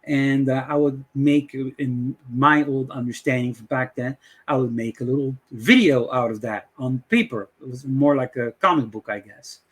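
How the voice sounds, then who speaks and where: A man's voice: mid-range voice, fairly even intonation, normal speed, husky. A middle-aged man from the Dutch West Indies with a Dutch accent, hosting a casual tutorial and rambling with many fillers. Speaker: channel host. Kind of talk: casual screen-share tutorial intro.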